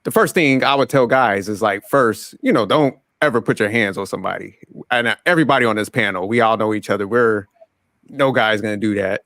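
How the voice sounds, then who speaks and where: A man in his forties hosting a casual panel podcast, talking in a relaxed, rambling way and often repeating words. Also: on the low side, a little fast, no echo, clean studio sound. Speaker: podcast host.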